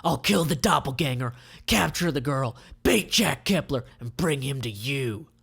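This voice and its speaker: Man acting gravelly, angry villain tycoon. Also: mid-range voice, expressive, measured pace, exaggerated voice. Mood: cold, menacing. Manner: forceful, menacing, dramatic. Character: angry, vengeful male villain, ex child-labor tycoon.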